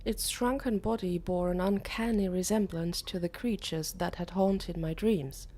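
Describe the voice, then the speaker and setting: A woman voicing a curious, uneasy teenage boy who narrates an audiobook in a measured, earnest way. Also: fairly low pitch, fairly even intonation, warm tone. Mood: narrating.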